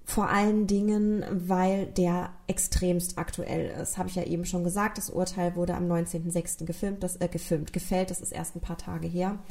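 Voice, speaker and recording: Lady w a rhineland-palatinate accent telling a true crime story, earnest, warm lowish voice. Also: level tone, moderate pace, few pauses. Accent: Rhineland-Palatinate German. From Rhineland-Palatinate, Germany. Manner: earnest, explanatory, addresses listeners directly. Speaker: true crime podcast host.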